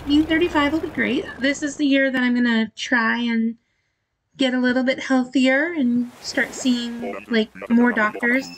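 A woman's voice: on the high side, low-quality recording. Casual woman streamer with music behind. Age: 30s. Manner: rambling, thinking aloud. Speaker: video game streamer. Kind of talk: casual chat while playing a game.

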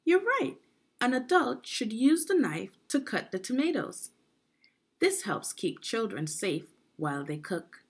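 A woman reading aloud to children as a teacher would, in a guided lesson style with deliberate phrasing. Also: a little high, very animated, slightly rough. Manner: deliberate teacherly phrasing, asks the listener questions. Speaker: education consultant reading aloud.

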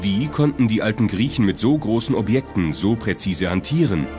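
A man's voice: fairly low pitch, lively intonation, moderate pace. A man reading scripted documentary narration as the narrator. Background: prominent music.

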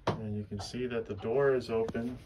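A man giving a slow, steady walkthrough of a sailboat, listing its features as he films on a handheld camera aboard at the dock. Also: medium pitch, background noise. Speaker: boat broker giving a walkthrough. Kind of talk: narrated walkthrough tour.

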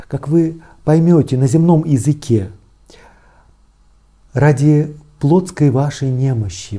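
A middle-aged man gives a reflective Bible commentary as a priest. His voice is very dark and relaxed, with a husky grain and an average male pitch. He moves through the text phrase by phrase, measured and explanatory. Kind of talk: expository religious teaching, reading and explaining scripture. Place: small indoor study with bookshelves.

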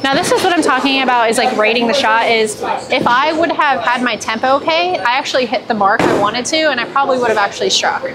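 A woman's voice: fairly high pitch, fast talker, over a noisy crowd. A young woman and pro bowler explaining her practice to camera, easygoing and step by step, on a lapel mic in a large indoor bowling alley. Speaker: professional bowler coaching. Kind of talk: casual instructional talk to camera. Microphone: clip-on lapel mic. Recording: loud bowling alley noise behind. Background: bowling alley noise, clicks.

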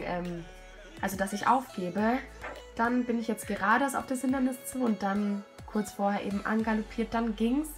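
A hoarse young woman with a cold, talking casually and self-critically over a video of her riding. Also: a little high. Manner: self-critical, chatty, many fillers. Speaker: rider commenting her own show-jumping test video. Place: at home, voice-over.

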